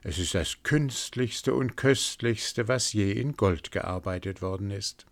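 A man voicing a faithful, clever servant in a fairy tale, calm and persuasive. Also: on the low side, slow, vocal fry.

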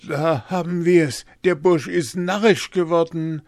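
Timid village sexton, acted by a male reader: alarmed, agitated, pitched high, storybook style. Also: steady tone, unhurried, a little mellow, no echo, studio quality. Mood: alarmed, dismayed. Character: frightened, flustered male village bell-ringer. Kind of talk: fairy-tale storybook character voice.

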